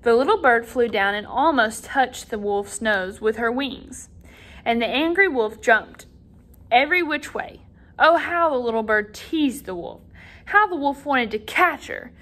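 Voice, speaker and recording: Female storybook reader, teacher type: higher-pitched, very lively intonation, dramatic emphasis aimed at young children. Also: a little slow. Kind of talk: animated storybook read-aloud.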